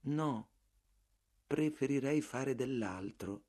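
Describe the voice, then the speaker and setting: Meek, passive clerk, read by a man: soft, slow, flat, unmoved. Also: medium pitch. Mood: calm, stubborn. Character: meek quiet male clerk, passive and stubborn.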